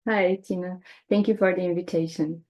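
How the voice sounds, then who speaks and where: A woman in her thirties from Portugal with a Portuguese accent, a native Portuguese speaker talking calmly as a podcast guest in second-language English. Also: on the low side, normal speed. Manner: speaks second-language English with small slips, calm and reflective. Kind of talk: conversational interview.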